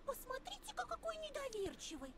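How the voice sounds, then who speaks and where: A woman's voice: very high-pitched, huge pitch swings, fast talker, a bit bright, heavily acted. A woman playing a sly, teasing young forest girl in a fox mask, with mocking and menacing turns, in a game. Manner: playful, mocking, slipping into menacing whispers. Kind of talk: visual novel game voice acting.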